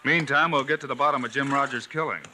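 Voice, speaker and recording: Steady self-assured frontier lawman, even tone, noisy old radio recording. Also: mid-range voice, fast. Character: calm confident male frontier lawman hero. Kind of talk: 1950s radio drama acting.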